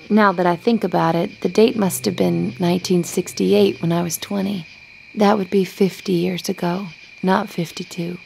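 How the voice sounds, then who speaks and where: A woman narrates a first-person story in a measured, conversational way. Her voice sits fairly low, with a gravelly fry running through it. Its tone is dark and mellow. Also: a little hiss or hum, rough recording. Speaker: story reader.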